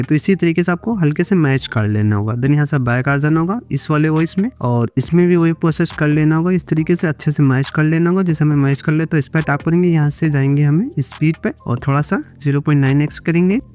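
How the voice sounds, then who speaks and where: A man's voice: fairly high pitch, darkish tone. An Indian man narrating a step-by-step editing tutorial, mixing English words into repetitive instructions. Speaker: video editing tutorial creator.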